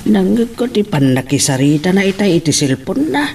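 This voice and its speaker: A man's voice: high voice, big pitch swings, moderate pace, darkish tone. A man acting a mild elderly family man in an Ilocano radio drama, with an Ilocano accent. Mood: reflective. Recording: noticeable background noise.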